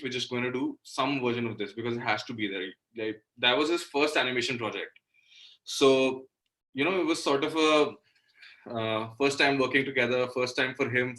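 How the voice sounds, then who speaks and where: A man's voice: medium pitch, sharp tone, low-quality recording. A young man from Delhi with an Indian accent, talking casually and self-deprecatingly as a musician guest in an interview. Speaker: interview guest, singer-songwriter and guitarist.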